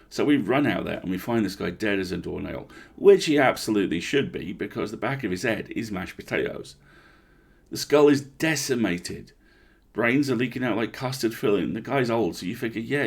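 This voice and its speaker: Guy acting out a shaken park ranger in a horror story, blunt n sweary, husky, gravelly. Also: fairly low pitch, full character voice. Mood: uneasy, confiding, then baffled, blunt. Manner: blunt, sweary, incredulous, conversational. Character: rattled, blunt male park ranger confiding over drinks.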